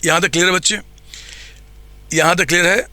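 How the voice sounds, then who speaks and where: High bright guy teaching accounts online, bit hoarse, keeps asking the kids questions, dry close mic. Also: steady tone, a little fast, no room sound. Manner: coaxing, asks the students questions and answers them himself. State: throat getting hoarse. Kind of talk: live online class lecture.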